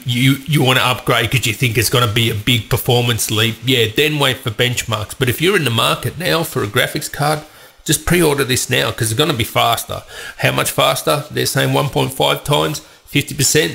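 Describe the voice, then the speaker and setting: Congested, gravelly male tech host. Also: medium pitch, pushed and bright. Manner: chatty, opinionated, rambling asides. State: has a cold, congested voice. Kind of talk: casual tech news commentary.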